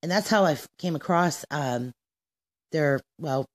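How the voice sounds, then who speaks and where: A woman's voice: low voice, unhurried. A woman hosting a radio show in an informal, somewhat hesitant style, often searching for words.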